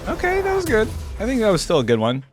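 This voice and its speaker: A man's voice: sits high, huge pitch swings, quick pace. A man giving casual reaction commentary as a video host, musing and thinking aloud. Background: game music and sound effects.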